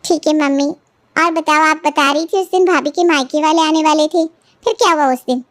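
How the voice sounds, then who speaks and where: A woman's voice: sits high, a little fast, lightly acted. A woman voicing a chatty, agreeable married daughter who sides with her mother in a cartoon family story.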